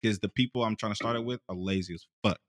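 A man in his late twenties, a podcast guest, bantering loudly and laughing with profane jokes. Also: lowish voice, fast talker, dry recording, clean studio sound. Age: 20s. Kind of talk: casual podcast chat.

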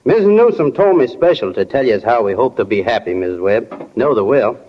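A man's voice: mid-range voice, animated, fairly quick, close to an everyday voice. A man playing a plain, neighborly country milkman with homespun rustic phrasing in a 1940s radio play. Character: plain rural milkman, slow-witted and neighborly. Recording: muffled, noisy, echoing old radio recording.

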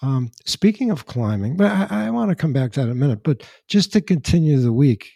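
Raspy older man, dark and dry. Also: fairly high pitch, lively intonation, brisk, no room sound. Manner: rambling anecdotes with dry asides. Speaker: podcast co-host. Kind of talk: casual chat, storytelling.

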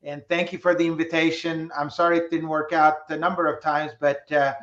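A man's voice: a little high, little pitch movement, a bit bright. Male guest, middle-aged, from Turkey; reflective and hesitant, dull lo-fi line. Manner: measured, reflective, many filler pauses. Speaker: guest, public policy professor. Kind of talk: interview answers. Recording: roomy, dull lo-fi sound.

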